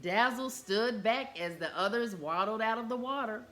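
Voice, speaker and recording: A woman reading a children's picture book aloud in an expressive, animated way. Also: on the high side, moderate pace, faint music behind. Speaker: storyteller.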